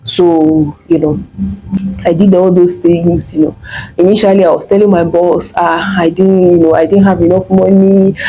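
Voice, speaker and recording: A young woman with a Nigerian accent tells her story straight to camera, chatty and animated, and she plays out the lines of the people in it. Her voice sits a little low and has a soft, mellow tone. She sounds like a vlogger talking casually to her viewers. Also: low-quality recording. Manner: chatty, animated retelling with quoted dialogue and laughter. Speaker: vlogger and online MBA student.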